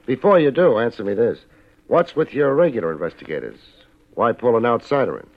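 A man's voice: mid-range voice, sing-song, a little fast. A man playing a wry, laid-back, hard-boiled private investigator in a 1950s radio detective drama, talking in clipped, sardonic slang. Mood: wry, brisk. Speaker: lead actor and narrator of a detective series. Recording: muffled vintage 1950s radio recording with music.

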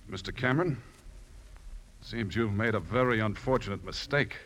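An unruffled ship's detective, played by a male actor in a 1950s radio drama. His voice is low, formal and understated, very polite. There is faint noise on the old broadcast. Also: moderate pace, a bit bright, close to an everyday voice. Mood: polite, reserved.

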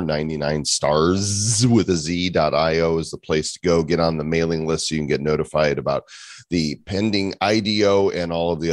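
A man's voice: sits low, no room sound, studio quality. A man co-hosting a podcast, wrapping up with easygoing, joking banter. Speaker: podcast co-host. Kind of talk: casual chat.

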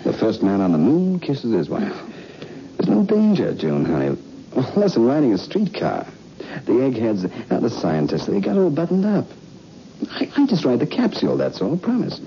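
An actor playing a cocky, boyish, excitable astronaut husband in a 1960s radio drama, on an old hissy recording. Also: male voice, mid-range voice, normal speed, dull audio. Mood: reassuring.

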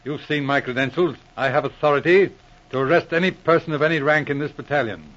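An actor playing a shrewd, resolute military police inspector in a 1940s radio drama, with clipped, authoritative speech. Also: male voice, fairly high pitch, fast talker, fairly bright tone, lightly acted. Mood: firm, commanding. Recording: muffled old radio recording.

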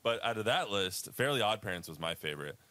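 A man's voice: on the low side, big pitch swings, fast talker, pushed and bright, no room sound. A man in his twenties co-hosting a casual sports podcast, rambling and laughing often. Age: 20s. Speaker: podcast co-host.

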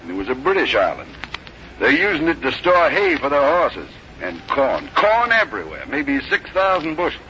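A man's voice: on the high side, wildly swooping pitch, normal speed, pushed and bright, heavily acted. A man acting a brazen, scheming soldier in a 1950s radio drama, speaking insistently. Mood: brazen, excited. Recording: muffled, noisy 1950s radio recording.

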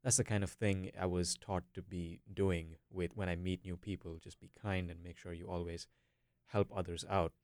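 This is a young man from India with a deep voice and a smooth, clear tone. He is a student guest on a podcast, talking casually in a dry recording space. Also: little pitch movement, normal speed, a little mellow, no room sound. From Bangalore, India. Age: late teens. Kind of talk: casual interview talk.

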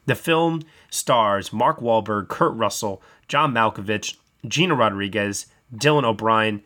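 A man's voice: lowish voice, a little slow, bright, forceful tone. A man hosting a film review podcast, chatty and upbeat as he introduces the guests. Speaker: podcast host.

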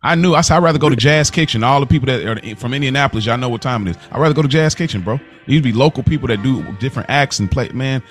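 An American man talks casually as a podcast co-host, with a mid-pitched voice. His delivery is slangy and animated, like someone telling a story to friends, with music playing along. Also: very fast, few pauses. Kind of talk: casual conversational chat.

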